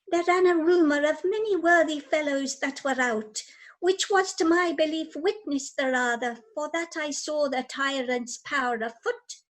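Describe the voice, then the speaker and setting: A woman playing a grave, reluctant noble messenger in an amateur Shakespeare radio play, speaking in solemn, measured verse. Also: sits high. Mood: grave urging. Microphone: recorded over video call.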